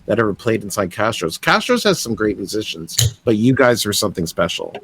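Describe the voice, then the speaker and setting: Rambling, enthusiastic Canadian host. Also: male voice, lowish voice, very animated, fairly quick, a bit bright, husky, rough recording. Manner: enthusiastic, rambling, many restarts. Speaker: podcast host. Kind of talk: casual interview chat.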